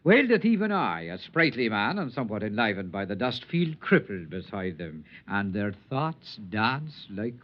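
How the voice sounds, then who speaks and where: Whimsical Irish wanderer, very animated, lyrical and theatrical, vintage radio play, muffled recording. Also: male voice, on the low side, moderate pace, few pauses. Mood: earnest, wondering. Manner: lilting, poetic, theatrical storytelling. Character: whimsical, lyrical, charming male wanderer, a sprightly radiation-touched outcast. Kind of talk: 1950s radio drama acting.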